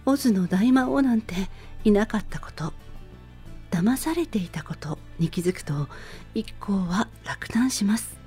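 A woman's voice: mid-range voice, expressive, measured pace, warm tone, soft background music. A woman host narrating a scripted story synopsis in radio-drama style, phrase by phrase with expression.

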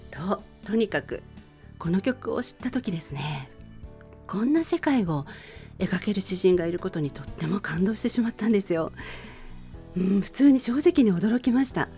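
A middle-aged woman hosts a radio show in a soft-spoken style. Her voice sits at a medium pitch, and faint music plays behind her as she introduces songs to listeners. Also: lively intonation, moderate pace.

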